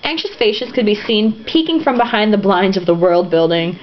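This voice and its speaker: A woman's voice: medium pitch, big pitch swings, normal speed. A woman reading a novel aloud in an irreverent, sarcastic, giggly way, stumbling over sentences and dropping into casual asides. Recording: dull lo-fi home recording, some noise.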